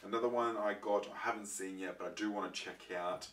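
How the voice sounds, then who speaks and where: A young man with a croaky voice from a throat infection, chatting casually to his viewers with lots of ums and restarts. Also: lowish voice, steady tone, a little fast, fairly bright tone. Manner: rambling, many ums and restarts. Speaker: vlogger showing his disc collection. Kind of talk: casual chat to camera. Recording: roomy, mic far off, faint noise.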